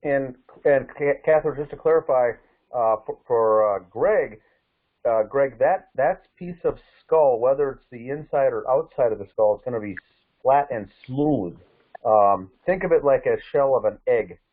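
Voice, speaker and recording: A man with a medium-pitched voice explains things conversationally. He moves haltingly and repeats words, heard over a narrow, phone-like conference line. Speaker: participant in a case discussion. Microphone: phone or conference call.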